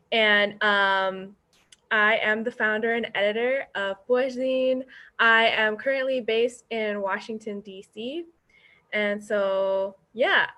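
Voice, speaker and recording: A young woman, founder and editor of a zine, presenting her work on a video call in a soft-spoken way with many ums and uhs. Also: mid-range voice. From born in Indonesia, raised in upstate New York.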